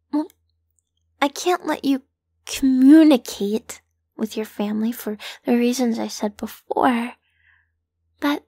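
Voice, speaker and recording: A woman voicing a lovesick, possessive yandere kidnapper in an intimate roleplay audio, doting and coaxing in a breathy voice that often sinks into whispers. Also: a little high, no room sound, full character voice. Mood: tender, sheepish. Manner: doting, coaxing, slow and lingering.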